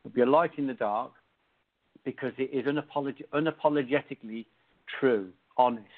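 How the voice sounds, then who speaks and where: A man's voice: medium pitch, fairly quick. A middle-aged man, an author being interviewed, speaking earnestly and emphatically. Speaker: author, guest. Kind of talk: interview conversation. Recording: tinny phone line.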